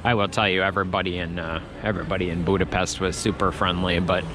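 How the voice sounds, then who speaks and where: A man's voice: low-pitched, flat delivery, quick pace, background noise. A man vlogging as he walks through an outdoor Christmas market, chatting in a rambling way with many restarts. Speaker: travel vlogger. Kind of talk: casual walking commentary.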